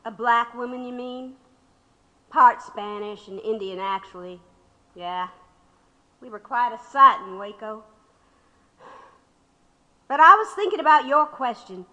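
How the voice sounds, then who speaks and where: Defiant older woman radical, bright and reverberant. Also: fairly high pitch, lively intonation, measured pace, long pauses, highs cut off. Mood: proud, pointed. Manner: sardonic, unyielding. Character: defiant, sardonic older woman labor radical under questioning. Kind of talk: audio drama acting.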